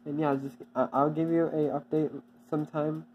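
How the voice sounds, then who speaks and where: A channel owner giving a casual, off-the-cuff update, halting and repeating itself often with many restarts. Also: medium pitch, level tone, narrow, tinny audio, a little hiss or hum.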